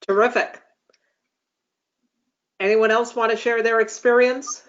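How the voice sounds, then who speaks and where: A man's voice: very high voice, little pitch movement, measured pace, a bit bright. A man moderating an online meeting, passing questions between participants. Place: remote online meeting call. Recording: muffled video meeting audio.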